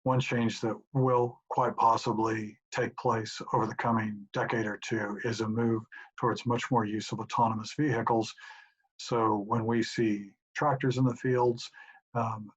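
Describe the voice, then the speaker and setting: A middle-aged professor calmly explaining his answers to questions sent in by an audience. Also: lowish voice, husky. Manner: measured, explanatory. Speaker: professor and institute director. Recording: dull lo-fi home recording.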